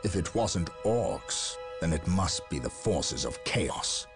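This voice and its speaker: An actor playing a stern, brooding male space marine captain who speaks in a grave, measured, commanding way. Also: low voice, lively intonation, music in the background, heavily acted. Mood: resolute. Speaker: soldier. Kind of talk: video game cutscene acting.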